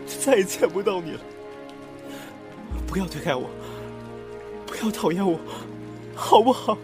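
Guy acting lovesick 20 yo boyfriend in a radio drama, high voice, pleading, swings up and down a lot. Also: animated, normal speed, music in the background. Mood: desperate, pleading. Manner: pleading, impassioned. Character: lovesick twenty-year-old man, earnest and clinging. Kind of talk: Chinese amateur audio drama, melodramatic acting.

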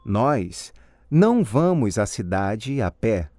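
Slow, deliberate man reading drill answers. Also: lowish voice, big pitch swings, mellow. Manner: slow, deliberate, clearly enunciated. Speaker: model answer speaker in a language course. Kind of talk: scripted language drill answers.

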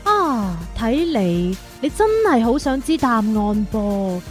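Young female, high-pitched, coy, gently teasing, radio drama, soft music bed. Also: very animated, slow. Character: coy, virtuous young single museum worker. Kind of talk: Cantonese radio drama acting.

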